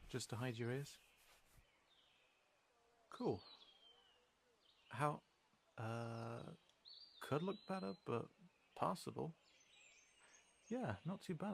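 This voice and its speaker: A man's voice: mid-range voice, gravelly, slight background noise. A British man playing a young cat townsman in an audio roleplay, informal and hesitant, guarded but friendly as he guides the listener. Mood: guarded, practical. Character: wary, helpful young male cat townsman guiding a human.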